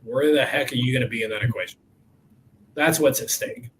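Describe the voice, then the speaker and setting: A man's voice: mid-range voice, a little fast, a little hiss or hum, low-quality recording. A man in his forties hosting a coaching podcast, talking in an emphatic, motivational way. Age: 40s. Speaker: podcast host.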